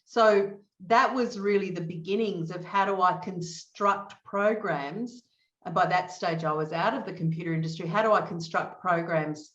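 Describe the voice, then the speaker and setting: A middle-aged woman from Australia with an Australian accent, a guest telling her career story in an animated, emphatic way. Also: on the low side. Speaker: guest, training consultant. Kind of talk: conversational interview answers. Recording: echoey, dull remote audio.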